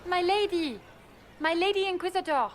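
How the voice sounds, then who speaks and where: An actress playing an eager, fawning courtier messenger in a video game, speaking in formal phrases. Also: female voice, very high-pitched, moderate pace, slight background noise. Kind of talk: video game cutscene acting.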